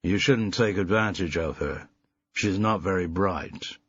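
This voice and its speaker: A man voicing a big, slow-witted young man who talks with a slight borrowed American twang in a staccato, rehearsed way. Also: low voice, slightly rough, highs cut off. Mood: flat menace.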